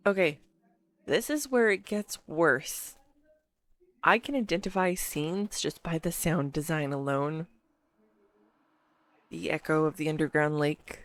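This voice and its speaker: A woman playing an excitable, affectionate girlfriend in a scripted roleplay, earnestly info-dumping to her listener with halting pauses. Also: fairly low pitch, no room sound. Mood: excited. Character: excitable, affectionate neurodivergent girlfriend gushing over her favourite film. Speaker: amateur voice actor. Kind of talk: scripted roleplay audio monologue spoken to a listener.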